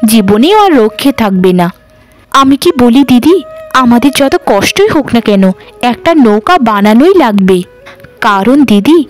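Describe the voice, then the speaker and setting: Cartoon casting: timid, fretful young village wife, voiced by a woman, highish pleading voice, soft music behind. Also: lightly acted. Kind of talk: melodramatic cartoon dialogue.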